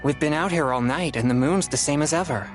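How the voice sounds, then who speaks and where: Brief: male actor as a worn-out, practical young man; plain and brisk, slightly high. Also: faint music behind, natural voice. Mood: weary. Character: tired, sensible young man. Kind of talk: video game voice acting.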